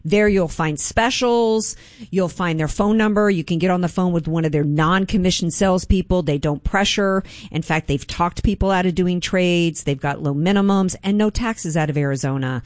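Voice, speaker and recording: A woman hosting a morning talk radio show, conversational with fillers, shifting into a bright sales pitch for sponsors. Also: moderate pace, dry recording, clean studio sound. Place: radio studio. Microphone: studio mic.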